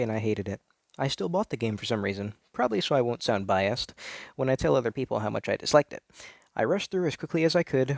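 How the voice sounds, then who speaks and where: A man's voice: mid-range voice, gravelly, dry recording. Man acting out a melodramatic, insecure male gamer's confession. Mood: earnest confession. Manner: theatrical, earnest, with dragged words and indignant outbursts. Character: insecure, self-deceiving traditional male gamer confessing an obsession. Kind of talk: melodramatic first-person dramatic reading.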